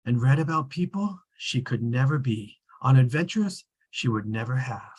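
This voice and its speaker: A man reading as a wry, measured storybook narrator with a husky, rough-edged voice at a scripted table read. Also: medium pitch, expressive.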